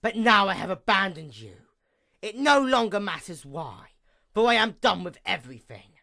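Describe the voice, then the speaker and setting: A woman voicing a bitter, envious dark goddess pony in a grandiose, brooding dramatic monologue. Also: mid-range voice, big pitch swings, moderate pace, creaky, exaggerated voice. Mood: menacing, defiant. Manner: grandiose, brooding, theatrical, formal.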